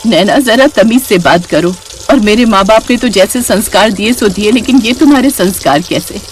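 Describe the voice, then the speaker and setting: A woman voicing a gentle, dutiful young daughter-in-law in a family story, patient and softly reasoning, with self-respect. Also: medium pitch, normal speed, a little hiss or hum, lightly acted. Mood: reproachful.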